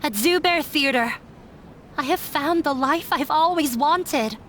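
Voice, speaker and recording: High-voiced, resolute runaway daughter. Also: female voice, a bit bright, a little hiss or hum. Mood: defiant. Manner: formal, measured, resolute. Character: earnest runaway daughter defying her scholar father. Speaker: voice actor in a game dub. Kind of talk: dramatic game dialogue. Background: background music.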